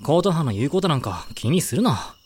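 A man playing a blunt but caring young man with rough, casual speech in a Japanese amateur voice drama. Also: a little high, very animated, a little fast, a little mellow, heavily acted. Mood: blunt, concerned.

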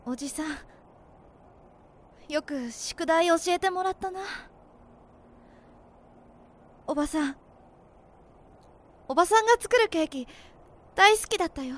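An inquisitive teenage girl acted by a woman in an audio drama. Her voice is extremely high and youthful, with a sincere, earnest delivery. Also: lively intonation, moderate pace, long pauses. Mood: grieving, wistful.

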